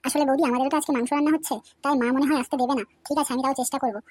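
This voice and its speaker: A woman's voice: high-pitched, steady tone, very fast, very noisy, close to an everyday voice. A woman voicing a cheeky, foul-mouthed young village man in a cartoon, brash and swearing often. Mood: eager. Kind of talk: cartoon voice acting. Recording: loud background music.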